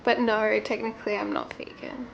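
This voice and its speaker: A woman's voice: mid-range voice, little pitch movement, normal speed, gravelly, lo-fi sound, a little hiss or hum. A young woman with an Australian accent, chatting casually and informally as a vlogger answering questions. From Sydney, Australia. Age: young adult. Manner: informal, conversational.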